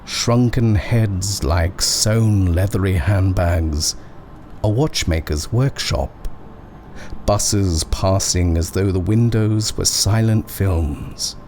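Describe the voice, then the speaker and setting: A low-voiced man narrates an eerie horror story. He reads slowly and deliberately, leaving dramatic pauses between phrases. There is clear background ambience behind his voice. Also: darkish tone, slight background noise. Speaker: narrator reading a short story.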